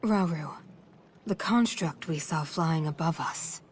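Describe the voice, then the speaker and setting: Thoughtful, grave royal woman, deep for a female, formal fantasy game delivery. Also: slow, slight background noise. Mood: grave, pensive. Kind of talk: video game cutscene acting. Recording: music behind the voice.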